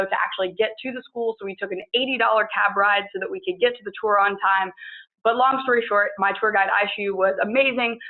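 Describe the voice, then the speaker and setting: Fast-talking young American woman. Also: medium pitch, phone-quality sound. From Kentucky, United States. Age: early 20s. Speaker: student panelist. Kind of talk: conversational personal introduction at a panel.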